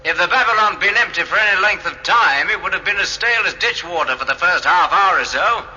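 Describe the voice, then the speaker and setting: A man's voice: high voice, expressive, fairly quick, bright, forceful tone, lo-fi sound, heavily acted. An actor playing an observant, matter-of-fact starship crewman reasoning things out in a radio drama. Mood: reasoning, insistent.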